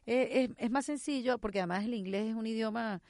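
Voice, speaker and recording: A woman's voice: fairly high pitch, brisk, a little mellow, no room sound. Woman host, dry close sound. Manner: agreeing, with short affirmations. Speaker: podcast host and interviewer. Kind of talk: casual interview chat.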